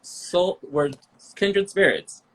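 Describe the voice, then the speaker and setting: A man's voice: high voice, expressive, slow, a bit bright, rough recording. A man in his thirties from Liberia, a guest chatting in an interview, upbeat and giggly with many ums and likes. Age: 30s. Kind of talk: casual interview chat.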